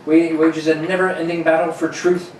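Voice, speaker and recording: A man's voice: fairly high pitch, little pitch movement, a little fast, close to an everyday voice. A man playing an emphatic, dramatic narrator of a 1940s superhero radio serial. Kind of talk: 1940s radio acting, amateur. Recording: echoey room, distant mic, noisy.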